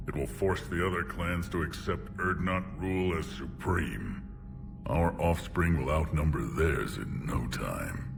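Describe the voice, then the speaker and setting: Gravelly, very deep alien warlord. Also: male voice, music in the background, heavily acted. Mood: dismissive, proud. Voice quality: gravelly, rumbling. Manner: blunt, domineering, deliberate. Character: huge gruff alien warlord clan leader, proud and menacing. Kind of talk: video game cutscene acting.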